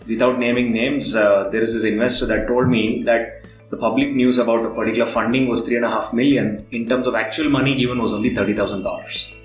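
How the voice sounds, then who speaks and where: Indian male podcast interviewer, medium pitch, conversational questioning, roomy recording over faint music. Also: steady tone, fast. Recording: roomy echo, faint guitar music underneath.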